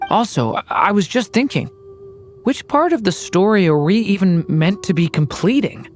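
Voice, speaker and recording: Voice actor as a shy, earnest dragon child; soft, hesitant lines. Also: mid-range voice, animated, moderate pace, soft background music, natural voice. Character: young dragon boy, earnest and anxious.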